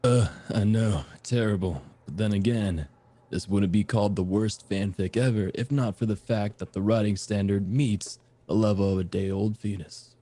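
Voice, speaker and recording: A man's voice: on the low side, moderate pace, warm tone, no echo. A man chatting casually as a guest, with dry and wordy commentary. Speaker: guest in a livestreamed group reading. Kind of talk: casual chat.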